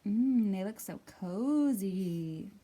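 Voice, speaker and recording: A woman hosting a children's storytime, reading and talking in an animated, deliberate way straight to young listeners. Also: mid-range voice, slight background noise. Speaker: children's librarian, storytime host. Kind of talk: expressive picture-book reading and storytime talk for young children.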